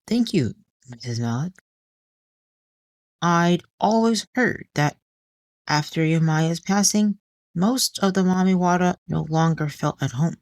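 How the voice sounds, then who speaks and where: A woman voicing a petite younger socialite who is sweetly flattering and slyly needling, in a polite audio drama performance. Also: on the low side, slow, pauses often, no room sound, clean studio sound, voice pushed up.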